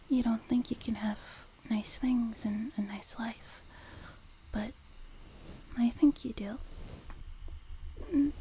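Woman acting a whispering, slow-spoken sweet demon girl. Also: on the high side, low-quality recording. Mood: earnest, reassuring. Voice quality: soft, breathy whisper. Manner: gentle, reassuring, slow and unhurried. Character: sweet, nurturing young female demon companion. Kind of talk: whispered ASMR roleplay, intimate personal attention. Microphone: close binaural microphone. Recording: noticeable background noise, close binaural mic.

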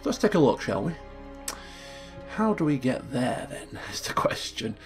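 Chatty man with a gravelly voice. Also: mid-range voice, very animated, measured pace, soft background music. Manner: chatty, thinking aloud, self-correcting. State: croaky from just waking up. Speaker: let's play host. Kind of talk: casual gameplay commentary.

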